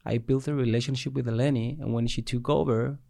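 A man's voice: medium pitch, fairly even intonation, fast, creaky, dark tone, no room sound, clean studio sound. A man in a casual interview, asking questions and sharing his own examples. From Cyprus.

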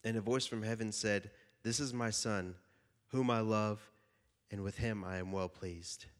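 A man's voice: fairly low pitch, fairly even intonation, measured pace. A young man with a stuffy, slightly nasal voice from a cold, preaching casually and jokingly to a youth group and reading scripture aloud. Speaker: youth pastor.

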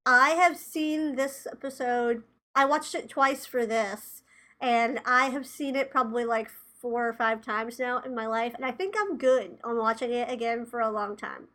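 A woman's voice: fairly high pitch, normal speed, a bit bright. A woman in her twenties, a podcast co-host, talking in casual chat, sounding nasal and congested from a cold. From Tennessee, USA. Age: 20s.

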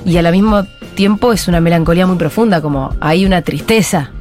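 A woman's voice: lowish voice, brisk, darkish tone, soft background music. A woman with an Argentine accent hosting a radio interview. She talks conversationally and reads listener messages aloud. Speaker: radio host.

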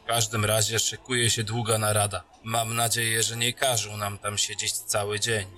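A man's voice: fairly low pitch, level tone, normal speed, sharp tone. A man voicing a jokey captain greeting an old friend in a fantasy audio drama, teasing and friendly.

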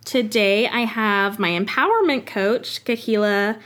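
A woman's voice: medium pitch, measured pace, a bit bright. A young woman hosting a podcast, talking casually with many fillers. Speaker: podcast host. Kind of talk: casual interview chat.

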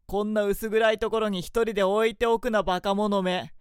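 A woman's voice: medium pitch, steady tone, moderate pace, a little mellow, smooth, no echo, close to an everyday voice. A woman playing a haughty, short-tempered young prince in a radio drama, giving brusque, imperious orders. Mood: irritated. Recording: studio drama with background music.

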